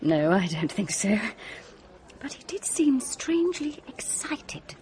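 Casting: young British woman doctor, mid-pitched, articulate, curious and confiding, for radio drama. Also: big pitch swings, slow pace, darkish tone, a little hiss or hum. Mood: intrigued. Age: young adult.

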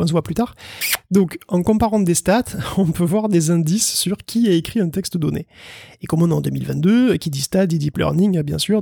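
A man with a gravelly, husky voice that sits a bit high and sounds dark and mellow. He co-hosts a tech podcast in a loose, joking chat, stumbling through fillers and restarts. The sound is dry and close. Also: no room sound. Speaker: podcast co-host.

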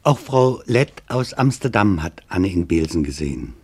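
A man playing a German reporter, narrating a radio documentary drama in a measured, grave and deliberate way. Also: low-pitched, sing-song, breathy edge, heavily acted. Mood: sober. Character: male German reporter recounting his search for witnesses, sober.

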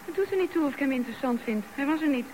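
A woman's voice: high-pitched, lively intonation, very fast, darkish tone, rough recording. An actress playing a candid, blunt wife in her thirties in a radio drama. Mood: matter-of-fact, grumbling. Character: younger wife of thirty-five, candid and indignant. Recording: background noise on radio drama recording.

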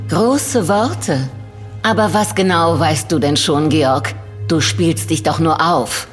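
An actress playing a cold, haughty, cynical industry villainess in a German audio drama, with a condescending, sardonic delivery. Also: female voice, low voice, slow. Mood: scornful. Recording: reverberant hall with music underneath.

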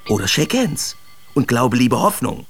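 An actor playing a brash, mocking male Dadaist artist, reciting theatrically in an expressive radio drama. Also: medium pitch, a little slow, creaky, music in the background. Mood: rowdy, agitated.

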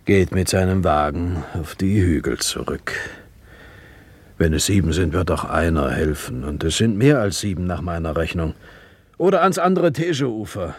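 An actor playing an earnest street flower seller in a German radio play, gravelly and husky, with emphatic, insistent diction. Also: male voice, low-pitched, lively intonation, normal speed, dry recording. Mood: persuading.

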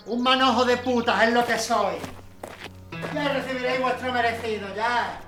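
A woman performs a threatening, foul-mouthed lowlife in a Spanish radio play. The voice is fairly high, sneering and crude, echoing and distant from the microphone beneath prominent music. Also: fairly even intonation, normal speed, lightly acted. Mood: spiteful threat. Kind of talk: Spanish historical audio drama. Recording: echoey, off-mic, loud music behind.

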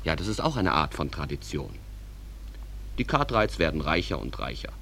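An actor playing a calm, reflective small-town stage manager who addresses listeners in an unhurried, conversational way in a German radio drama. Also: male voice, extremely low pitch, gravelly, a little hiss or hum, heavily acted.

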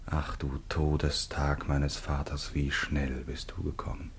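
An actor playing a devoted, grief-stricken son, declaiming with passion in a solemn classical radio play. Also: male voice, very deep voice, level tone, unhurried, gravelly, slight background noise, heavily acted. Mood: grieving. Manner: impassioned, declamatory lament.

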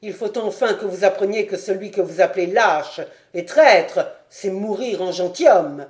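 A woman's voice: on the low side, moderate pace, natural voice. A woman reading as a firm, loyal lieutenant. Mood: indignant. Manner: firm, loud, dignified. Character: steadfast male lieutenant, cool then indignant.

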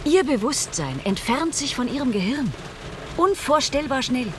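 Earnest, expressive woman scientist, noisy recording. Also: high voice, moderate pace. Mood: insistent, explanatory. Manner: formal, precise, explanatory. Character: earnest, composed female scientist explaining her hypothesis. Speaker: radio drama actress. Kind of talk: German radio play acting.

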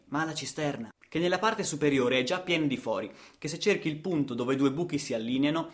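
A man's voice: fairly high pitch, a bit bright. Male actor for a radio theatre monologue; rhythmic, dramatic storyteller delivery. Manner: dramatic, rhythmic delivery with repetitions and rhetorical questions.

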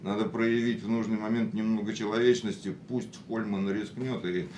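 A man's voice: steady tone, normal speed, a little mellow, close to an everyday voice. An actor as a passionate philosophical man, roomy echo. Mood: wry, persuasive. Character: passionate, philosophical, risk-loving man of action. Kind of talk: radio drama acting. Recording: echoey radio studio, faint rumbling noise.

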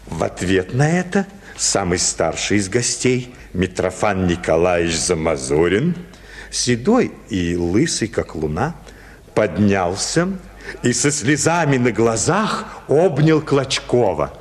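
An actor narrating a comic literary story for Russian radio as a wry, expressive storyteller. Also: male voice, lowish voice, takes it slowly. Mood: wry storytelling. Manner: expressive, acts out quoted phrases.

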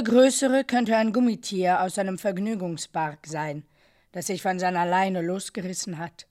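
An actress playing a solemn, insistent reciter in an avant-garde radio drama, speaking in a declamatory, deliberate way. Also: female voice, mid-range voice, a little mellow, close to an everyday voice. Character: solemn, insistent woman reciting an experimental text.

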